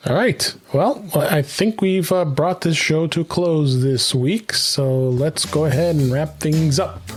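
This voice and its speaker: A man with a slightly high voice hosts a podcast in a casual, chatty style. Faint music can be heard behind him. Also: a little slow, breathy edge. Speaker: podcast host.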